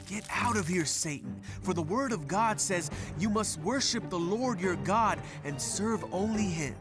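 Holy hero of a children's cartoon, read by a man: steadfast, firm, higher male voice. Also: expressive, a little slow, music in the background.

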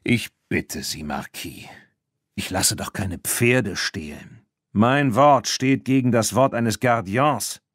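A man's voice: on the low side, slow pace, fairly bright tone, dry recording. A man plays a slippery horse dealer from a children's audiobook. He is brazen and mock-polite, denying and needling with smug assurance. The sound is dry.

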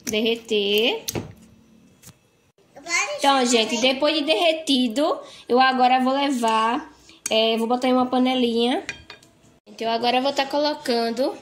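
A woman's voice: high-pitched, measured pace, long pauses, slight background noise. Chatty woman cooking at home. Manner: chatty, tags phrases with "tá?" and "gente". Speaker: home cook vlogger. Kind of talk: casual cooking narration. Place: home kitchen, indoors.

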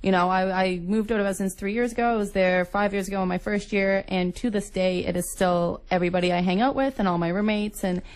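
A young woman from Nova Scotia talks quickly as an interview guest. She sounds enthusiastic and casual, with a medium-pitched voice. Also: fairly even intonation, a little mellow. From Nova Scotia, Canada. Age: 20s.

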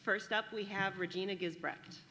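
A woman's voice: mid-range voice, fairly even intonation, moderate pace, pushed and bright, slight background noise. A woman in her thirties, an ESL teacher, introducing students with enthusiasm and frequent fillers. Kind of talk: ceremony introductions. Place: large school hall. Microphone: podium mic. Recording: long hall reverb.

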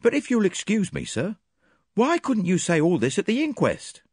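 A man voicing a brisk, plain-spoken English police inspector with a hearty manner. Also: high voice, huge pitch swings, darkish tone, husky, no room sound, studio quality, voice pushed up, full character voice. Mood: blunt.

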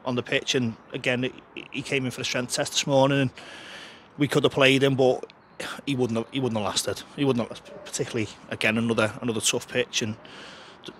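A man, a football manager giving a frank, chatty post-match interview outdoors by the pitch into a handheld mic, often restarting his sentences. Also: fairly bright tone, a little hiss or hum. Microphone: handheld interviewer mic held close.

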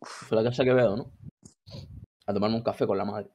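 A man's voice: lowish voice, steady tone, quick pace, darkish tone. A young man from the Canary Islands with a Canarian accent, telling comic stories casually on a video call in a slangy, boastful, rambling way. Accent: Canarian Spanish. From Canary Islands, Spain. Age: young adult. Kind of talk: casual chat, comic storytelling. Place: indoor room, video call.

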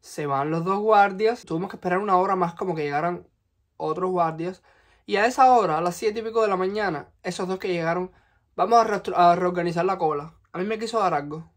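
A young man in his twenties from Cuba, speaking with a Cuban Spanish accent, telling a personal story to the camera in his bedroom with lively, expressive delivery. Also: high-pitched, fairly quick. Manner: animated, expressive, gesturing with hands. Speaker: vlogger. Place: small bedroom, indoors. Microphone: camera in front at close range.

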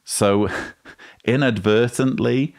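A man in his forties giving measured, explanatory commentary as a host, recorded close on a studio microphone in a room. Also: medium pitch, steady tone, vocal fry. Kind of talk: critical video commentary to camera. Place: small indoor room with bookshelves. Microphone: studio mic on boom arm, close.

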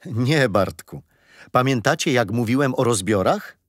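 An actor playing a patient, gentle grandfather telling children a history story in a radio play. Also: medium pitch, animated, measured pace, dry recording, studio quality. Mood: patient, fond. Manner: gentle, didactic.

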